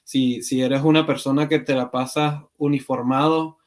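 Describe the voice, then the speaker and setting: Man, from Venezuela, podcast guest, hesitant, frequent fillers, mid pitch. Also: fairly even intonation, normal speed. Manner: hesitant, many fillers and restarts. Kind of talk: conversational interview.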